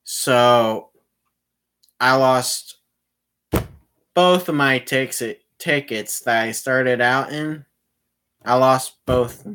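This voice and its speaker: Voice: mid-range voice, drawn-out words, long pauses, a bit bright, clean tone. A game streamer giving casual, self-directed commentary while playing, muttering and often swearing. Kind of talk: casual livestream gameplay commentary.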